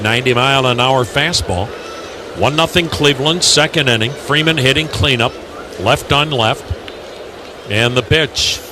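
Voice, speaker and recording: An American man calling a baseball game live on the radio as play-by-play announcer. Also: mid-range voice. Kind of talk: live radio sports commentary. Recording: radio broadcast over loud ballpark noise.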